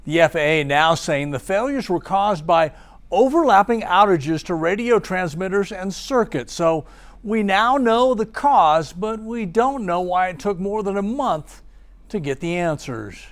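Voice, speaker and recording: Investigative TV reporter: American man, sixties, slightly high-pitched, studio broadcast delivery. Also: animated, a little slow. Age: 60s.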